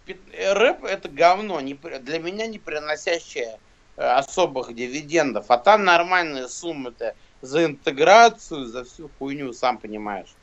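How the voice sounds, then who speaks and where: A man in his thirties talks loosely over a phone camera, voice sitting a little high. He rambles, swears and fills gaps with filler sounds. The audio is muffled and lo-fi. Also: lively intonation, normal speed. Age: 30s. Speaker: rapper and guest. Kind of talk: casual video call chat. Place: indoors, small room. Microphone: phone camera close to face. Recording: dull lo-fi video call audio.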